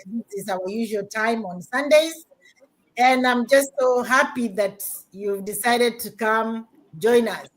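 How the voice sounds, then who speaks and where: Brief: woman host on a video-call stream, a touch high, unhurried, welcoming. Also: poor audio. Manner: warm, welcoming and upbeat, repeats thank-yous. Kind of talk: live-stream panel chat.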